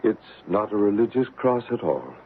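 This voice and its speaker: Earnest psychic investigator, tinny recording. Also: male voice, lowish voice, big pitch swings. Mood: soothing, earnest. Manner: measured, explanatory. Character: earnest male psychic investigator, soothing and solemn. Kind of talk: 1970s radio drama acting. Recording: noisy, phone-like 1970s radio recording.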